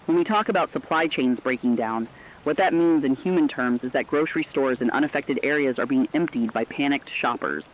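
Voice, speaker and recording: A man's voice: mid-range voice, brisk, dull audio, lightly acted. A man playing a concerned, empathetic radio co-anchor, giving scripted commentary in an earnest, sombre, reflective way. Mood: troubled, earnest. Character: concerned, empathetic male radio co-anchor dwelling on human suffering. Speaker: news co-anchor.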